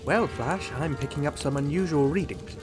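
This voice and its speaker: A man's voice: on the high side, normal speed, music in the background, close to an everyday voice. A man playing a precise, clinical costumed hero doctor in a radio drama. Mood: puzzled, analytical. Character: brainy male costumed hero doctor with a scanning visor. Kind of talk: fan radio drama acting.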